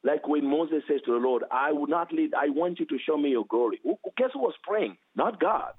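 A man with a heavy accent, a guest author teaching with emphasis and repeating key phrases. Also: normal speed. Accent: heavy foreign accent. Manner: emphatic, repeats phrases, animated swings in pitch. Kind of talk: preaching teaching interview. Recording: tinny phone line.

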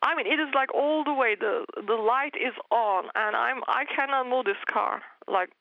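Interview guest: woman, Turkish native speaker, earnest testimony, gravelly voice, dull lo-fi sound. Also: fairly high pitch, normal speed, dry recording. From Turkey. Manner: earnest storytelling with frequent restarts and laughs.

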